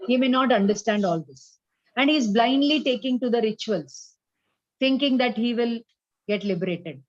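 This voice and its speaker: A woman with an Indian accent giving a spiritual class, explaining deliberately and checking that listeners follow. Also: on the high side, no room sound, lo-fi sound. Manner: didactic, deliberate, checks understanding with questions. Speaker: religious teacher.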